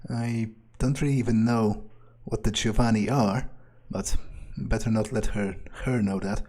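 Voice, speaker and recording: A man's voice: fairly low pitch, fairly even intonation, measured pace, warm tone. A man role-playing his character through a video game, musing and thinking aloud in a hesitant way. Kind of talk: in-character let's play commentary.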